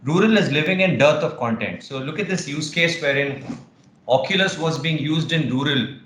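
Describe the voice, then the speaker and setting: A man, speaking in steady, assured business terms on a panel. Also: fairly high pitch, moderate pace, roomy sound, slight background noise, poor audio. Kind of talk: online panel discussion.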